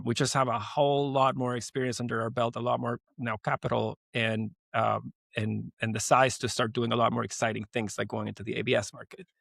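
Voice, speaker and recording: A man in his forties from Venezuela, a guest on an interview podcast, talking in an animated, emphatic way with many restarts. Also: medium pitch, creaky, no room sound, studio quality. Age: 40s. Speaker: guest, lending company founder.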